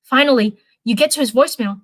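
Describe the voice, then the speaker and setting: High-voiced woman reading a story aloud. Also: quick pace, dry recording. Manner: stumbles over words and rereads them. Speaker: live stream host reading fan fiction. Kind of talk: read-aloud story narration.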